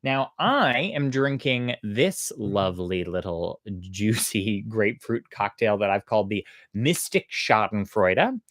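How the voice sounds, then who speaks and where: A storytelling host with a lively, varied pitch and a close, dry sound. The delivery is conversational and thoughtful, moving between casual explanation and expressive storybook narration. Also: no echo.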